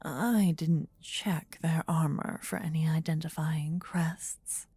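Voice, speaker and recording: Woman playing a slow, whispering, possessive demon queen. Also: low-pitched, fairly even intonation, no echo, full character voice. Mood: tender, protective. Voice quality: soft, breathy whisper. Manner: slow, intimate, regal, coaxing. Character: possessive, tender yandere demon queen, dangerously devoted. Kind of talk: whispered ASMR fantasy roleplay.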